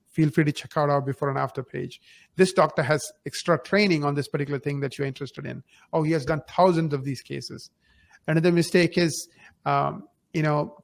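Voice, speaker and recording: A man's voice: fairly high pitch, creaky. A man giving advice conversationally as a podcast guest, emphatic and fond of rhetorical questions and acted-out examples. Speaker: podcast guest, marketing company founder.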